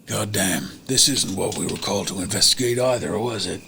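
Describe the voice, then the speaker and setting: A man's voice: low-pitched, fairly quick, vocal fry, sharp tone, a little hiss or hum, full character voice. A man playing a stern senior police officer who scolds and orders a subordinate around. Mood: irritated.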